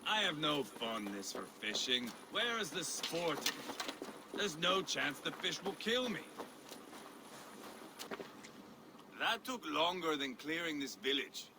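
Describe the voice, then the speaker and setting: A gravelly, bloodthirsty Norse warrior. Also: male voice, sing-song, unhurried. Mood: mocking. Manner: theatrical, swinging from low menace to roaring. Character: bloodthirsty, sadistic, gleeful old Norse warrior, unstable and taunting. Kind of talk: dramatic video game acting. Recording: noisy game ambience behind the voice.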